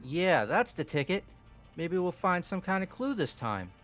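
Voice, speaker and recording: A man's voice: high-pitched, sing-song, unhurried, a bit bright, faint music behind, exaggerated voice. A man playing a laid-back young man awkwardly taking charge in a fan-voiced visual novel, casual and slangy. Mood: casual, upbeat.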